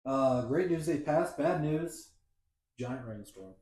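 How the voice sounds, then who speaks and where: A man on a casual podcast recaps a film scene by scene and tosses in joking asides. His voice is mellow and dark. The sound is roomy, with the echo of an untreated room. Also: medium pitch, animated, slow pace. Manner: walks through the plot with playful asides. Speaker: podcast host. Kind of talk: casual movie recap chat.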